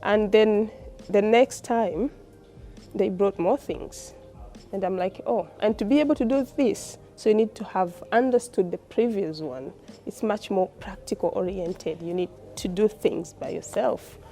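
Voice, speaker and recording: Mellow-voiced Tanzanian woman speaking informally. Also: mid-range voice, unhurried, soft background music. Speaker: postgraduate student. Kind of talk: informal interview testimonial.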